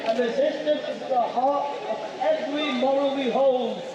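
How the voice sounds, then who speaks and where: High, echoing rally speaker, far off. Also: steady tone, moderate pace, highs cut off. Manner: declamatory, emphatic, rhetorical repetition. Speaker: protest speaker. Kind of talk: political rally speech. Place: outdoors at a rally. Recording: distant, echoing, noisy outdoor crowd.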